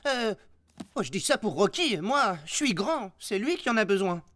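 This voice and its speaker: A woman playing a boastful but soft-hearted big-brother boy in a cartoon, casual and boyish. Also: mid-range voice, lively intonation, normal speed, dry recording, clean studio sound, lightly acted. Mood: dismissive, sheepish. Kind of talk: animated cartoon acting.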